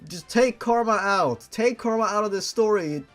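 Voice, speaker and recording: A man's voice: high-pitched, moderate pace, a bit bright, soft background music. A young man reading a fan-fiction story aloud, breaking off for sarcastic, profane commentary. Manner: sarcastic, swears freely, breaks off to mock the text.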